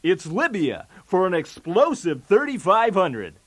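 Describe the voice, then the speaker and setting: A man's voice: high voice, huge pitch swings, unhurried, slightly rough, heavily acted. A man playing a brash, wisecracking 1980s TV game show host with showy, punchy patter. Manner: showy, jokey, with punchy delivery of gags.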